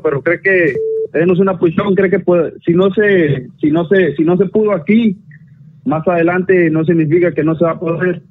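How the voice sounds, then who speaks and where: A man with a Mexican Spanish accent calls in over a thin, tinny phone line. His voice sits a bit high for a man. He talks casually and slangily, often restarting and stumbling through his sentences. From North Carolina, raised in Zacatecas. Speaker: caller.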